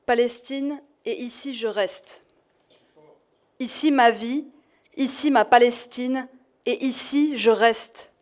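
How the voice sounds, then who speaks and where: A woman reading a poem aloud in a measured, deliberate way. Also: on the high side. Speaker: audience member reading a poem. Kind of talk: poetry reading.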